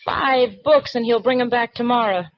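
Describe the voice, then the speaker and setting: An actress playing a breezy library clerk who talks in a casual, slangy, teasing way, in 1940s radio acting. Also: female voice, a little high, moderate pace, no room sound, close to an everyday voice. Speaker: library assistant. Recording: dull dry 1940s radio broadcast recording.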